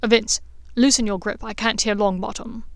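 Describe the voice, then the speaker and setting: A woman's voice: mid-range voice, fairly even intonation, moderate pace, dry recording, close to an everyday voice. Lady reading a smug teen wizard boy, casual taunting storybook voice, dry close sound. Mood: mock casual. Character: smug drawling teenage wizard boy, mocking.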